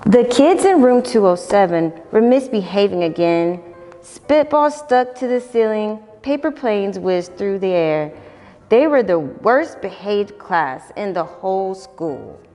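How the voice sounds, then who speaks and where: Animated young woman reading storybooks. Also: mid-range voice, unhurried, in a large hall, faint music behind. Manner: expressive, sing-song read-aloud. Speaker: volunteer storybook reader. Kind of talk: children's storytime read-aloud.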